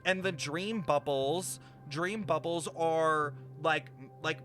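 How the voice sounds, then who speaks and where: A man with a fairly high voice streams a story game. He reads text aloud and reacts as he goes, animated and puzzled, with music playing behind him. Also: slow.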